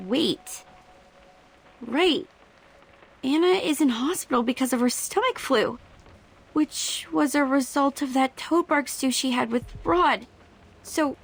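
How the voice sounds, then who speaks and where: Video game character, hot-headed young female student director, very high pitch, forceful bright tone, snappy, combative. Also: full character voice. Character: hot-tempered, brash young woman film student director. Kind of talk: dramatic video game voice acting.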